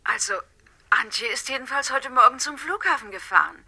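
A talkative, self-assured aunt in a radio play. Her voice is very bright and sharp, with pitch that swings in a lively way. She sounds brisk and sure of herself. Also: full character voice. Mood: animated, recounting. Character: chatty, self-assured woman, a girlfriend's aunt. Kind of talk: German radio play acting.

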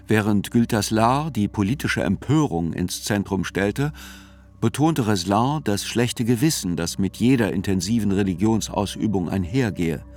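A man's voice: on the low side, expressive, moderate pace, a little mellow, faint music behind, lightly acted. An actor playing a sober, reflective male reporter who narrates a radio drama in literary reportage style.

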